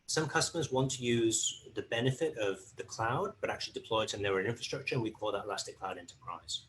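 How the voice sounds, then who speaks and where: A man presenting a webinar, giving a steady, explanatory product overview. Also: on the low side, fast, a little hiss or hum, rough recording.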